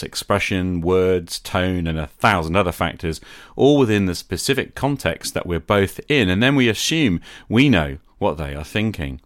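A man hosting a podcast, delivering a scripted, reflective monologue in a deliberate, explanatory way that addresses the listener directly. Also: sits low, no room sound, studio quality. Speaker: podcast host.

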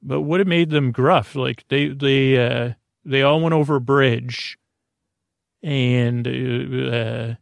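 A man hosting a sleep podcast, with a creaky, gravelly voice, rambling in a meandering, halting monologue that often stops and restarts. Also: medium pitch, steady tone, no echo. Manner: meandering, halting, self-deprecating.

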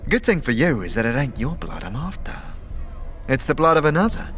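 A man's voice: mid-range voice, very animated, fairly quick, fairly bright tone, a little hiss or hum, voice pushed up. A man voicing a cunning, menacing slum gang boss who taunts in drawling street slang. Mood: sly menace.

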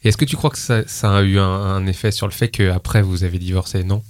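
A man interviewing a guest, asking probing questions in a halting, apologetic way. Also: sits low, steady tone, a little mellow. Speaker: interviewer host. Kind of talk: conversational interviewing.

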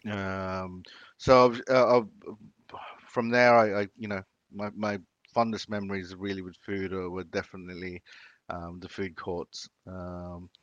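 Low-voiced aussie guy chatting in an interview, lots of ums, dry close sound. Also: flat delivery, measured pace, fairly bright tone, dry recording, dull audio. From Philippines, raised in Sydney. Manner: hesitant, many fillers and restarts. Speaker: chef. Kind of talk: casual interview.

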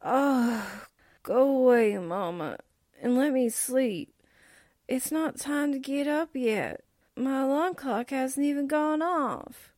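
A woman acting a slangy, grumbling young newspaperwoman sidekick in a 1940s-style radio drama, with comic, drawn-out delivery. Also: high voice, darkish tone, no echo, studio quality. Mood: groggy.

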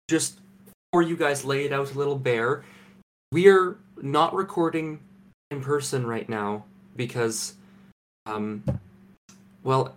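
A young Canadian man co-hosting a podcast from home, deadpan and mock-serious, with halting restarts. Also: mid-range voice. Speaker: podcast co-host. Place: home, remote recording.

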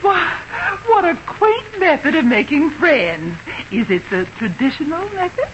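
An actress in a 1950s radio comedy playing an excitable, smitten, wisecracking woman friend, exclamatory and swooning. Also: high voice, measured pace. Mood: smitten, comic. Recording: very noisy, muffled old radio recording.

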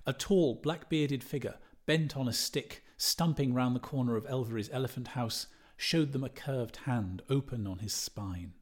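A man narrates literary fiction from a script. His voice sits at a middle pitch and has a noticeably husky, breathy texture. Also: normal speed, hardly any pauses, a little mellow. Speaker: audiobook reader.

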